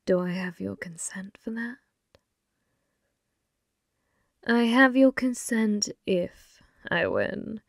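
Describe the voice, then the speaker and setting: A woman voicing a flirty, possessive female demon bartender in an intimate ASMR roleplay, teasing and unhurried, sometimes slipping into whispers. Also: mid-range voice, gravelly, dry recording, studio quality.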